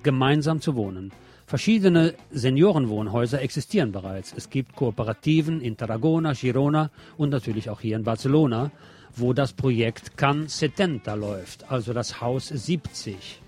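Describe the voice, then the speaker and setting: Male magazine presenter, mid-pitched, scripted news narration, music bed underneath. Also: a little mellow, breathy edge.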